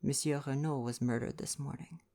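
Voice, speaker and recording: A man's voice: medium pitch, fairly even intonation, moderate pace, dark tone, natural voice. A man voicing an imposing storybook police sergeant with a firm, formal manner. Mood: stern.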